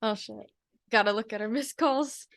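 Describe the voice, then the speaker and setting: Bright-voiced giggly young woman. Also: on the high side, moderate pace, dry recording, studio quality. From South Korea. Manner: giggly, laid-back, swears casually. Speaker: podcast co-host. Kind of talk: casual chat.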